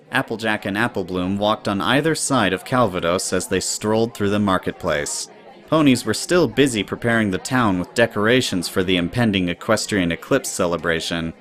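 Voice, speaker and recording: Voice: deep voice, fairly bright tone. A narrator reading scripted story prose in an audio drama.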